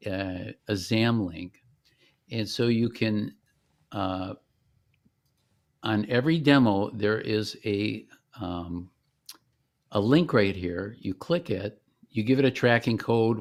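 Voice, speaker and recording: A man explaining software step by step in a conversational, informal product demonstration. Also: lowish voice.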